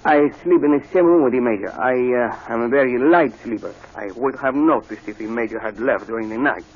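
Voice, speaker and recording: An actor playing a stiff, loyal manservant with formal, halting speech in an old radio drama. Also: male voice, mid-range voice, slightly rough. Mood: dutiful, formal. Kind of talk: 1940s radio acting. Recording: dull old radio broadcast.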